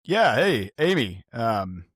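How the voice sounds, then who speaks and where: A man playing a glib, pushy male government agent on a phone call in a tabletop game, halting yet breezily familiar. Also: medium pitch, sing-song, fairly bright tone, husky, no room sound, studio quality, full character voice. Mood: glib, persuasive.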